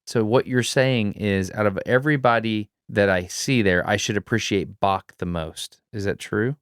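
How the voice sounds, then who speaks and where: A man with a low, smooth and resonant voice co-hosts a podcast, tossing out curious, playful follow-up questions. His voice is dry and close on the mic. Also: normal speed, no room sound. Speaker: podcast co-host. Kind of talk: casual podcast chat.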